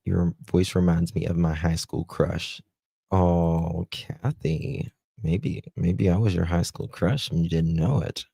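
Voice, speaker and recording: Southern guy on a livestream, slow drawl, deep gravelly voice, warm dark tone, dry close sound. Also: fairly even intonation, no room sound. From United States. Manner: slow, chatty, drawling, talks to viewers by name. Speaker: livestream host. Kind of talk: casual live chat reading comments.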